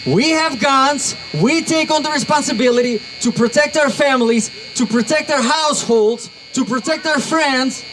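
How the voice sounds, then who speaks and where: A young man with a Russian accent addresses an outdoor rally crowd, his voice pitched high and rising to shouts as he gets worked up. Noise hangs clearly behind him throughout the speech. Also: moderate pace, few pauses. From Uzbekistan. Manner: energetic, builds to shouts. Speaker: rally speaker. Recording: noisy outdoor crowd recording.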